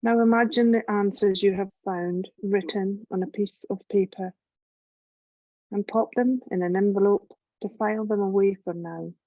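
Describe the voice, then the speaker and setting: A woman leading a guided yoga nidra meditation in a gentle, calm, measured voice with long pauses. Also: mid-range voice, no echo, muffled recording. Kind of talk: slow guided relaxation meditation narration.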